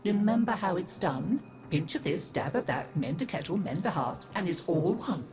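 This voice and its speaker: A woman playing a gentle, doting elderly mother in a video game, with quaint, folksy, lilting speech. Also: lowish voice, expressive, normal speed. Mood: cosy. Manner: cosy, old-fashioned, lilting, folksy phrasing. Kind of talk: video game character acting. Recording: music behind the voice.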